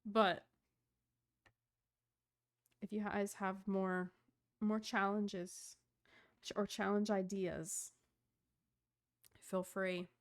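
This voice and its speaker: A woman game streamer talking casually and informally to her viewers while she plays. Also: medium pitch. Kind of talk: casual livestream chat while gaming. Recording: game music behind the voice. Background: game music.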